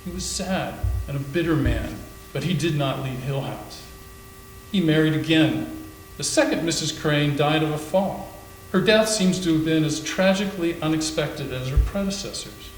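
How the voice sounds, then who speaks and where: Male reader as somber storyteller, higher-pitched voice, measured readers theater delivery, roomy sound with faint hum. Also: lightly acted. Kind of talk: staged readers theater narration. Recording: echoey room with faint hum.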